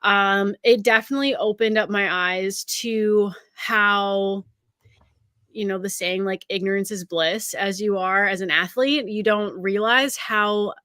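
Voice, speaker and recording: A woman's voice: mid-range voice, fairly even intonation, measured pace, pushed and bright, no echo, clean studio sound. A woman in her thirties from Canada, a college recruiting scout chatting as an interview guest in an upbeat way with lots of fillers. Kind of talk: casual interview conversation.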